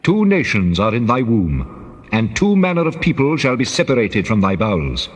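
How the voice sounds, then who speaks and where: A man voicing God in a dramatized scripture, a solemn, commanding divine figure who speaks in a slow, grand, husky declamation. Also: mid-range voice, very animated. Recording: long cavernous reverb.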